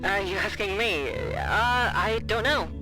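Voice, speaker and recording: An actress playing a chatty, nervy young woman in an audio drama, with a casual, halting delivery. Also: medium pitch, music in the background, lightly acted, low-quality recording. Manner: casual, halting, filler-heavy. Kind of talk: naturalistic audio drama acting.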